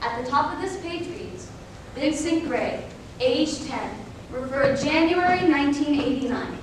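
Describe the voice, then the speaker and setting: Young woman narrating a stage script, dramatic n deliberate, echoey room with noisy rumble. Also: far from the mic. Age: young adult.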